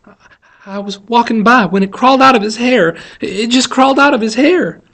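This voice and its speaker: A man's voice: sits high, expressive, brisk, voice pushed up. A man voicing a prim, squeamish woman schoolteacher in a storybook, with a proper, anxious manner. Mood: horrified.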